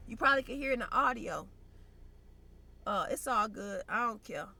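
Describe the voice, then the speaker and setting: A woman's voice: a little high, animated, normal speed, creaky, bright, forceful tone. A young woman vlogging in a blunt, slangy, conversational way, sounding congested and nasal. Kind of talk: casual direct-to-camera chat.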